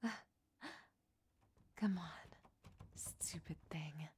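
A woman's voice: deep voice, drawn-out words, darkish tone. A woman whispering a roleplay monologue to a listener, scolding him and then turning tender and confessional.

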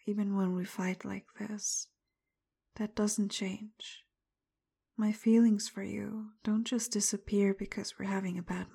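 A woman speaking straight to the listener, first frustrated and confrontational, then soft, apologetic and tender, much of it in a breathy whisper. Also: medium pitch. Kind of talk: roleplay audio addressed to the listener.

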